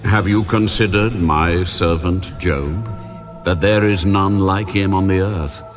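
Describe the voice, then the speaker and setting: Almighty deity in scripture drama. Grave, authoritative, deep and unhurried. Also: male voice, fairly even intonation, music in the background. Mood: stern, commanding. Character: God, solemn, commanding, all-powerful male deity.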